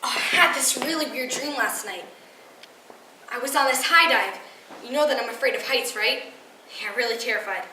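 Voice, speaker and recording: Young teen performer as vulnerable teenage girl, confessional stage monologue, emphatic, high bright voice. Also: moderate pace, long pauses. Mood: anxious, wistful. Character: frustrated, vulnerable teenage girl confiding in her friend. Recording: roomy, distant, noisy live recording.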